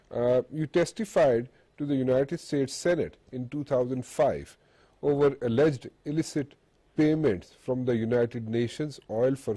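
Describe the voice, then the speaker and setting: Mellow-voiced man, halting interviewer. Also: mid-range voice, slightly rough, dry recording. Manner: halting, hesitant phrasing. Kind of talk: telephone interview questioning.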